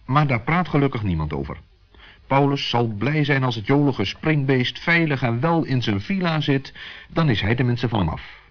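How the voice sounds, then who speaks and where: Man, middle pitch, expressive scripted narration, children's radio story, dull old recording. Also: moderate pace. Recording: muffled vintage 1960s radio recording.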